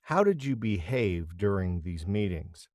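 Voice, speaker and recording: A man playing an interviewer in a scripted practice interview for English learners, reading questions clearly. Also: sits low, big pitch swings, measured pace, darkish tone, no room sound, studio quality.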